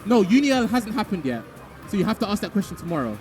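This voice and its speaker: A man's voice: high voice, big pitch swings, a little mellow, soft background music. A man hosting a live stream and interviewing players in a loose, casual way. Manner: rapid and loose, with many fillers and restarts.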